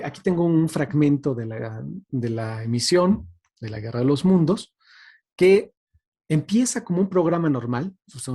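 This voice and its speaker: Mellow, husky Mexican man giving a lecture. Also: medium pitch. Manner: reflective, hesitant, seeking agreement with tag questions. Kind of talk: informal academic talk.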